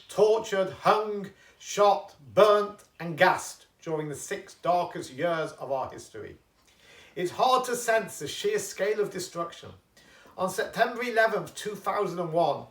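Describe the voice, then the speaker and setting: A man speaking as a rabbi, giving a solemn, measured memorial address with an oratorical delivery. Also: sits high, fairly bright tone. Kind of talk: solemn speech and prayer. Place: echoey room, pre-recorded video. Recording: echoey room, slightly distant mic.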